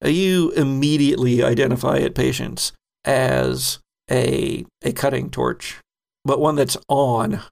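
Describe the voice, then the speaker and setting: A man narrating a tabletop horror game as game master, descriptive and unhurried, with frequent ums. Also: medium pitch, steady tone, creaky, darkish tone.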